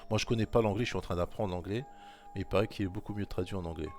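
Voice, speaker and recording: A man's voice: low voice, very fast, darkish tone, soft background music. A man giving informal commentary on scripture, repeating his points insistently and speaking directly to the listener. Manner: insistent, repetitive exhortation; talks straight to the listener. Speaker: religious commentator.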